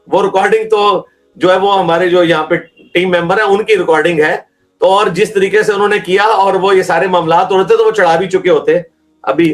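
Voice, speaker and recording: Argumentative Pakistani man with a raised voice. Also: high voice. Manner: argumentative, emphatic, asks rhetorical questions. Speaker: religious scholar answering questions. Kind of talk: conversational question-and-answer talk.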